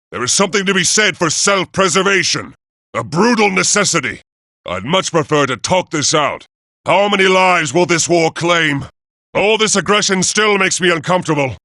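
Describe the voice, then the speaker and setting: A man's voice: high voice, bright, forceful tone, no room sound, studio quality, exaggerated voice. A man voicing a proud, noble robot leader in a video game, with a formal, declamatory and grandiose delivery. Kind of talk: theatrical video game voice acting.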